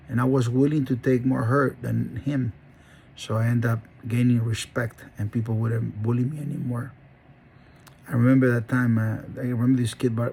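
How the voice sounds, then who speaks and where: A man's voice: mid-range voice, creaky, dark tone, slightly rough. A middle-aged man from Honduras, telling his life story in an earnest, rambling monologue full of restarts. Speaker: dog breeder and channel host. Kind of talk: personal monologue.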